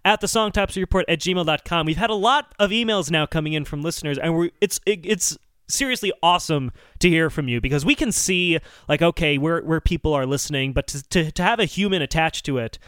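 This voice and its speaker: A man's voice: fairly high pitch, brisk, a bit bright, clean tone, no room sound, studio quality. A man hosting a comedy podcast, chatting casually. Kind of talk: casual chat.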